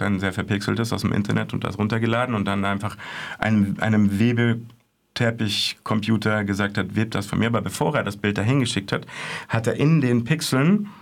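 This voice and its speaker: A man with a fairly low voice talks through his report in a radio studio. He speaks hesitantly as a correspondent, with frequent fillers and restarts. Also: creaky. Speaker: art correspondent. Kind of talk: casual radio chat, reporting on art fairs.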